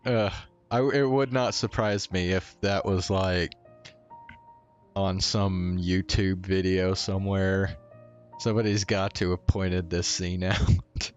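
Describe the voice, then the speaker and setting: A man's voice: low-pitched, fairly even intonation, takes it slowly. A man casually commenting as he streams a video game. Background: game music.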